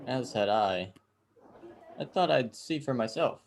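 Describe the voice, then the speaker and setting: A man's voice: mid-range voice, animated, a little slow. A man playing a jaded, composed Japanese lord in an amateur dramatic script reading. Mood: dry, composed. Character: jaded feudal Japanese lord fond of fight nights.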